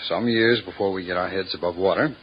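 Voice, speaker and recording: A man playing a defensive, touchy rest home owner in a 1950s radio drama, husky, indignant and hesitant. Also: low voice, fast, a little hiss or hum, natural voice. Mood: uneasy, defensive. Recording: dull vintage radio recording.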